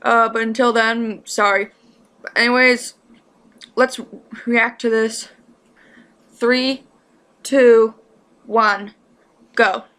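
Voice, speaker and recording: A woman chatting casually as a reaction video host, with a rambling, halting delivery. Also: a little high, steady tone, fairly bright tone, smooth. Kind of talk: casual chat to camera.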